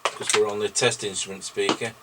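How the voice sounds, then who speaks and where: A man's voice: lowish voice, level tone, fairly quick. A man chatting casually and making CB radio test calls in a matter-of-fact way, using radio procedure words, on a noisy recording. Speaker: radio repairer and test operator.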